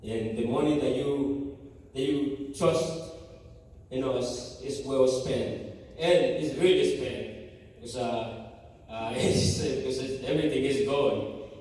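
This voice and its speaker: A man from Guatemala with a Guatemalan Spanish accent, a native Spanish speaker, giving a halting church testimony full of fillers and restarts. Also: medium pitch, darkish tone. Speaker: mission team member giving a church report. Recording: echoey church hall from far off.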